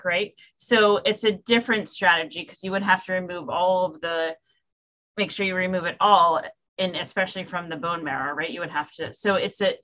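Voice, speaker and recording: Hesitant woman researcher, lowish voice. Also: sharp tone, poor audio. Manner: hesitant, many fillers and restarts. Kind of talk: answering questions on a webinar. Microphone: video call.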